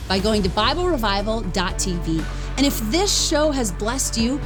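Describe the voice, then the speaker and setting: A woman's voice: fairly high pitch, very animated, moderate pace. A woman reading a scripted promotional outro as the show's announcer. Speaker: show announcer. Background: music.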